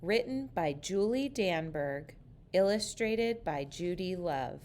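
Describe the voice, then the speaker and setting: A woman reading a children's picture book aloud, slow, careful and expressive. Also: lowish voice. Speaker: storyteller.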